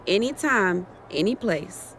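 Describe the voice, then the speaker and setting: A woman's voice: mid-range voice, expressive, slow, slight background noise. A woman playing a confident, swaggering wrestling champion in a video game, brash and playful. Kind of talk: naturalistic video game cutscene acting.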